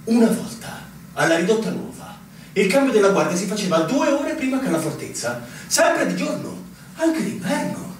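A man's voice: sits high, sing-song, normal speed. A man acting in a radio drama as an aggrieved, long-serving fortress soldier, emphatic and military in delivery. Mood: grumbling explanation. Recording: very echoey, distant, clearly noisy recording.

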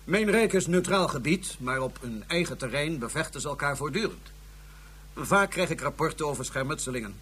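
A man's voice: on the high side, lively intonation, fairly quick, fairly bright tone, slightly rough. An actor playing a haughty male Martian ruler, speaking in a formal, condescending way. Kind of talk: radio drama acting.

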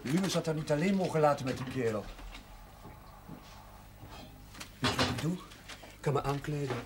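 A hissy old television soundtrack carries a man acting a young chaplain. His voice sits fairly high, agitated and halting, as he plays flustered but caring in naturalistic drama style. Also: close to an everyday voice. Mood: troubled, indignant. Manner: agitated, halting, repeating himself. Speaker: actor in a television drama series.

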